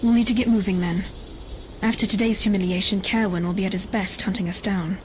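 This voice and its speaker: An actress playing a composed, practical rebel leader in an audio drama, businesslike and reassuring. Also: female voice, mid-range voice, fairly even intonation, brisk, slight background noise, close to an everyday voice. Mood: composed, resolute.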